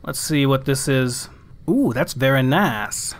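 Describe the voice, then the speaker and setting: A man's voice: medium pitch, animated, slow pace. A man giving casual let's play commentary, thinking aloud as he plays.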